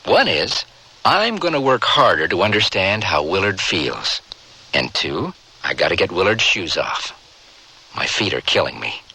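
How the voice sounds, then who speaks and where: A man's voice: low voice, unhurried, vocal fry, husky, dry recording, poor audio. A middle-aged man as a father in a radio spot, telling a wry, scripted comic story. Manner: animated, wry, acts out quotes.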